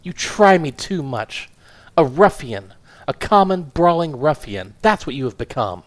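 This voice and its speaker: A man's voice: on the high side, very animated, measured pace, voice pushed up. A man voicing a small, furious married woman who screams and scolds her husband. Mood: furious scolding. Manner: shrieking, scolding.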